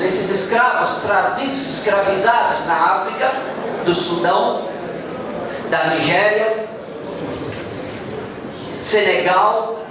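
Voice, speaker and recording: A man giving a slow, emphatic public address to a congress audience, calling for their attention. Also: sits high, noisy background. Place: large hall with audience. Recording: echoey hall, far from mic, crowd behind.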